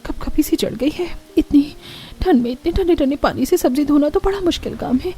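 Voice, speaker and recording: Woman acting a high-pitched whiny young daughter-in-law. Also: brisk, warm tone, lightly acted. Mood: whining, suffering. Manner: complaining, dramatic, coaxing. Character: aggrieved young daughter-in-law, whining and eager.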